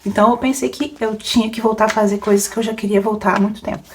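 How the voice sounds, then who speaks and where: Woman, young adult, casual rambling chat, frequent laughs, medium pitch. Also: fairly even intonation, fast talker, a little hiss or hum. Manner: rambling, chatty, laughs often, many fillers. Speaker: book podcaster and YouTuber.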